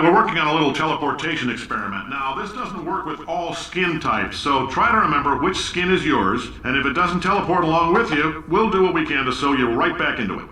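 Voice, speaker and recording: A man's voice: on the high side, sharp tone. An actor playing a brash, cocky, middle-aged American science company boss in a video game, delivering blunt announcements like a salesman. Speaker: company founder and CEO. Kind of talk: recorded facility announcements, salesman-like speech. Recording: echoey PA announcement, distant, faint noise.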